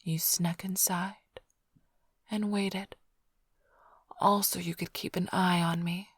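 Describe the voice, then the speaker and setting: A woman performs a female vampire thief for an ASMR roleplay, smug and flirtatious. Her voice is lowish and very breathy, often dropping into a whisper. She teases the listener in an unhurried drawl, recorded close and dry. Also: level tone, no room sound, heavily acted. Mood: teasing, nostalgic. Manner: teasing, languid, mock-affectionate drawl.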